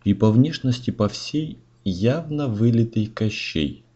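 A man's voice: mid-range voice, lively intonation, slow pace, dark tone. A man narrating a rhymed fairy tale from a script, on a muffled, noisy recording. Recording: muffled audio with clear noise, clicks.

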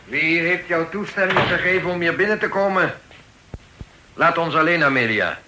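A man's voice: fairly high pitch, moderate pace, noisy background, close to an everyday voice. An actor in a Dutch radio drama playing an imperious pirate lord and stern father, speaking curtly and with command. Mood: stern, irritated. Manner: curt, commanding.

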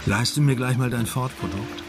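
An actor playing a matter-of-fact, probing Dutch police adjutant in a radio crime drama. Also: male voice, mid-range voice, moderate pace, over music, close to an everyday voice. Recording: clear background noise under dialogue.

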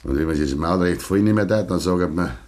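An actor playing a stubborn, wounded older farmer with a Bavarian accent, gruff and terse in a 1950s dialect radio play. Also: male voice, deep voice, a little fast, a little mellow, lightly acted. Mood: grim, determined. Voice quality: gravelly, husky.

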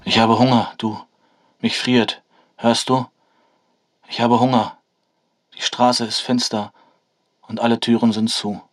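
An actor playing a hungry, freezing, bitterly weary war returnee in a radio drama, speaking in terse, dramatic phrases. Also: male voice, medium pitch, flat delivery, unhurried, long pauses, no room sound, lightly acted. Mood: pleading, desperate. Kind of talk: German stage-style radio drama acting. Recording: music behind the voice.

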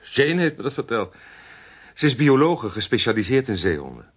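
A man's voice: mid-range voice, big pitch swings, normal speed, breathy edge. A man playing a helpful, informative Dutch police detective in a radio drama. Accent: Dutch (Netherlands).

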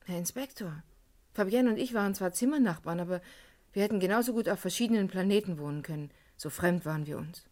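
A woman's voice: lowish voice, fast talker, darkish tone, natural voice. Woman acting a guarded, terse female student. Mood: aloof. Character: guarded female art history student and nightclub cloakroom worker. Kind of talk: German radio crime play acting.

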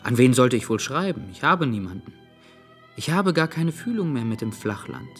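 An earnest, lovesick young gentleman in a radio drama. His voice sits at a middle pitch, and he muses at length in a phlegmatic yet pleading way. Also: very animated, normal speed, slightly rough, soft background music. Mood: musing, plaintive.

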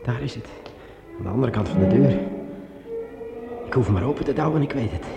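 An actor playing a jittery swordsman in a radio drama, talking to himself in halting, repeated phrases. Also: medium pitch, lively intonation, creaky, lo-fi sound. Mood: bold, keyed up. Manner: agitated self-talk, halting and repeating words. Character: jittery man with a sword alone in a dark cellar, muttering to himself. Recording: echoey, with loud music behind.

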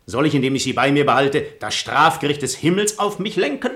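An actor playing a cynical, falsely pious noble seducer in a classic radio play, eloquent and mock-solemn. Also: male voice, medium pitch, lively intonation, brisk, fairly bright tone. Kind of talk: German radio play acting of classic comedy.

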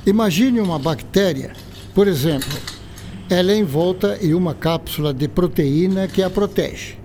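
Earnest Brazilian male scientist played by a man, courteous and didactic, higher voice, radio drama with faint noise. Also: moderate pace, darkish tone, close to an everyday voice. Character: earnest scientist doctor, courteous, patiently explaining. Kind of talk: educational radio drama acting.

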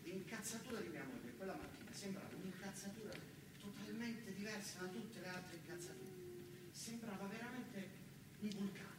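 A man's voice: high voice, sing-song, moderate pace. A man with a southern Italian accent performing a theatrical monologue as an exasperated, self-deprecating factory worker, talking colloquially and emphatically with repeated words. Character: exasperated male car-factory worker and young father, talkative, self-deprecating. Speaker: stage actor performing a monologue. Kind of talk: theatrical narrative monologue in character. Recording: very echoey, noisy distant stage recording.

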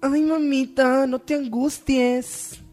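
A woman's voice: high-pitched, steady tone, slow, dark tone, soft background music. A woman playing a bossy, melodramatic woman of a humble family in a parody radio soap. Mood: soothing melodrama. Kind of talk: parody telenovela radio acting.

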